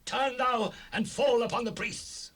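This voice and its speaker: Husky, imperious King Saul. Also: extremely high pitch, measured pace, a bit bright, full character voice. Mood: furious command. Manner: imperious, declamatory. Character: King Saul, wrathful, suspicious, commanding monarch. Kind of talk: dramatized King James Bible recording.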